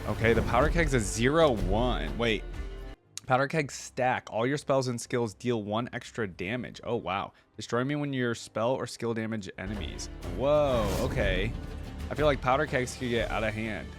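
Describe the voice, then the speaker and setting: A man's voice: medium pitch, animated, normal speed, over music. A man giving casual reaction commentary as a host, conversational and thinking aloud.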